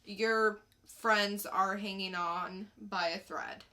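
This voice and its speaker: A woman with a bright, crisp-edged tone speaks at a slow pace. She co-hosts a podcast and delivers her recaps and opinions casually, in plain, informal words. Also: mid-range voice, steady tone. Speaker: podcast co-host reviewing comics. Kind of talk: casual plot recap and review.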